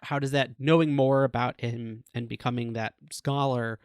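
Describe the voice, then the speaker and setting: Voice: medium pitch, steady tone, normal speed, darkish tone, clear, resonant tone, no room sound, clean studio sound. A podcast host who asks supportive follow-up questions in a casual chat.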